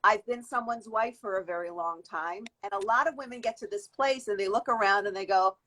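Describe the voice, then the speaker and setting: Woman in her 50s talking it through, long rambling thoughtful answers, lots of restarts, even mid-range voice. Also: mid-range voice, fairly quick. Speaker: guest, beauty industry worker. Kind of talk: conversational interview answer.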